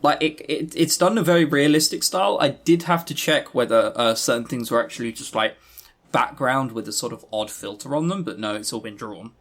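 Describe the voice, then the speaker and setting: A man's voice: medium pitch, a little fast, a bit bright. A man co-hosting a podcast, giving a casual review in a rambling, informal and blunt way. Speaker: podcast co-host.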